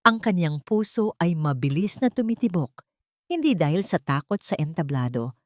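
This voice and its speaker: Female audio-story narrator, dramatic scripted read, slightly low voice, very dry sound. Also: big pitch swings, normal speed, no room sound.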